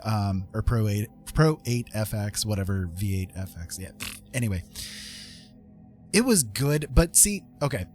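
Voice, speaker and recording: A man chatting casually to viewers as a live streamer, rambling along in an easygoing, informal way. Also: mid-range voice. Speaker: former radio host. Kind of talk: casual livestream chat with viewers. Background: music playing.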